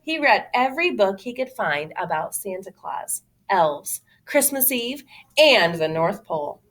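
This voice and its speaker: A woman reading a children's storybook aloud in an expressive, dramatic way, like a teacher to young listeners. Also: mid-range voice, unhurried. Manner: dramatic, exclaiming.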